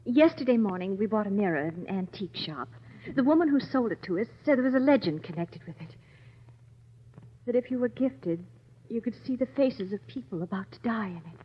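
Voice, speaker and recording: An actress playing a high-strung, frightened married woman in old-time radio drama style, faltering and pleading. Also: lively intonation, brisk, husky. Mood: troubled, earnest. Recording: muffled, hissy old radio recording.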